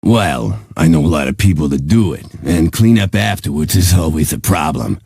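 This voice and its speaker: An actor playing a grisly, unbothered slaughterhouse night foreman in a video game, gravelly and deadpan. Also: very deep voice, normal speed, dry recording, exaggerated voice. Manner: deadpan, matter-of-fact.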